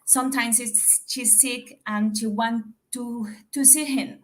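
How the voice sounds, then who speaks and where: A woman with a Dominican Spanish accent and a fairly high voice speaks halting, careful English on a video call. She reads slowly and pauses often to find her words. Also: fairly even intonation. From Dominican Republic. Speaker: caller.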